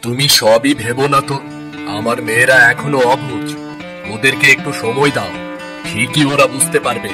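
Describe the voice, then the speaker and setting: A man voicing a gentle, doting village father in a cartoon, soothing and affectionate in manner. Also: mid-range voice, steady tone, moderate pace, natural voice. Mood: reassuring. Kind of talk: cartoon voice acting. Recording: music under the voice.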